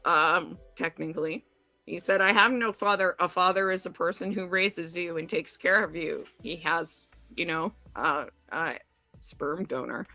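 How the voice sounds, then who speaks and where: A woman's voice: lowish voice, unhurried, bright, forceful tone, faint music behind, poor audio. A woman co-hosting a casual chat, reading an episode summary aloud with chatty asides. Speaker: podcast co-host.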